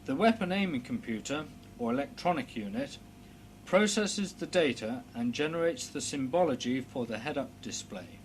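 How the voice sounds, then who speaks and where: A man reading a scripted technical instructional narration in a formal, measured, precise explanatory manner. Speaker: narrator of a technical training film. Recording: clear background noise with low hum.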